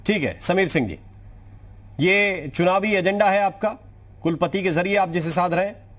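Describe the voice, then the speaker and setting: A man's voice: high-pitched, moderate pace, a bit bright. A man hosting a live news panel debate, interjecting and challenging his guests.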